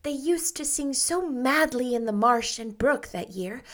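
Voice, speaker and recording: Casting: effusive, dreamy young woman, lilting and high, voiced by a woman reader. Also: lively intonation, measured pace. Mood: gushing. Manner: gushing, lilting, high-pitched.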